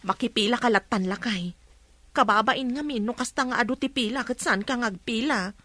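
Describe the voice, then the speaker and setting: A woman's voice: a little high, brisk, sharp tone, no echo. A woman playing a patient, apologetic wife who pleads with and chides her husband in a radio drama. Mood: reproachful. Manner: pleading, reproving.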